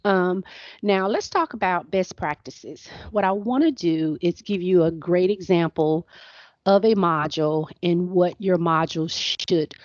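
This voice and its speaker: Woman walking teachers thru course setup, lowish voice, bit hoarse, dull dry call audio. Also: takes it slowly, no echo. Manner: conversational, repeats words and restarts often. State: voice going hoarse, clearing throat. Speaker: instructional trainer for faculty. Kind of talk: screen-share training walkthrough.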